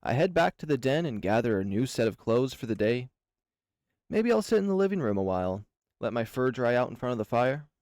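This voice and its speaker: A man who hosts a channel, reading a visual novel aloud in a slow, measured, dreamy way. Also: mid-range voice, very animated, darkish tone, dry recording, studio quality. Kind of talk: dramatic reading of game text.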